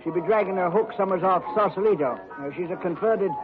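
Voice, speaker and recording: An actor playing a jokey old American sea captain in 1940s radio style, folksy and full of sailor slang and puns. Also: male voice, high voice, fast talker, natural voice. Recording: muffled vintage radio recording with music.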